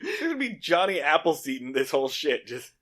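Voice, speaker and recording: A man's voice: fairly high pitch, huge pitch swings, fairly quick, fairly bright tone. A man co-hosting a podcast in casual, comedic chat, swearing freely and slipping into paraphrased dialogue. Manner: profane, slangy, acts out paraphrased dialogue. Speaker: podcast co-host. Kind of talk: casual comedic chat recapping an old TV show.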